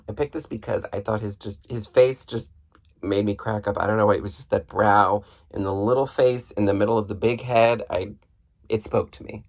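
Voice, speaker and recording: A man reading a children's book aloud in an expressive, playful storybook style, with a husky voice. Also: on the low side, normal speed. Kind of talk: animated storybook read-aloud.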